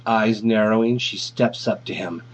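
A man narrates a story from a script. His voice sits on the low side and has a husky grain. The recording is dull and lo-fi. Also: steady tone, moderate pace. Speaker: fan fiction reader. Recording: dull, lo-fi audio.

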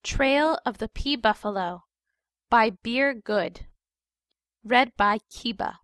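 A woman's voice: fairly high pitch, slow, a bit bright, dry recording, studio quality. A woman reading a story aloud as a scripted narrator. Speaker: fan fiction reader.

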